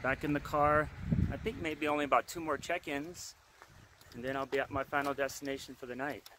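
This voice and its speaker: A middle-aged man talks easily to a handheld phone as he drives. The sound is close but carries steady car and road noise throughout, with outdoor stops in open air. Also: mid-range voice, brisk, low-quality recording. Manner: relaxed, chatty narration to camera. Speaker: travel vlogger. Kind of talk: casual travel vlog. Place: inside a car while driving, also outdoors at stops. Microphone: phone camera at arm's length.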